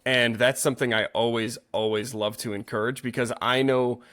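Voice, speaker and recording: A man's voice: medium pitch, fairly even intonation, normal speed, a bit bright. A man speaking as a yoga teacher and podcast co-host, explaining things earnestly in casual conversation and often correcting himself as he goes.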